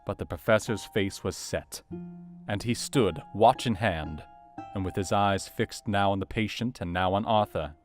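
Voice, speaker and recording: A man playing a serious, anxious Victorian doctor, narrating his diary in a measured, formal literary manner for an audio drama. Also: fairly low pitch, close to an everyday voice. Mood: grave, anxious. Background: faint plucked guitar music.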